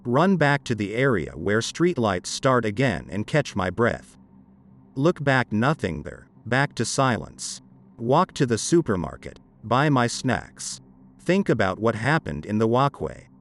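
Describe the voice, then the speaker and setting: Man narrating creepy stories, lively pitch. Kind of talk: scripted narration of horror greentext stories. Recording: dark ambient music behind. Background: dark horror background music.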